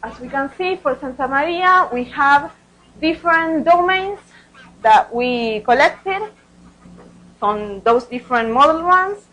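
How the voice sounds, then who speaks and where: Woman with a highish voice presenting research, kind of halting, repeats herself a bit. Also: animated, a bit bright, rough recording. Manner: hesitant, halting delivery with repeated words. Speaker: researcher presenting study results.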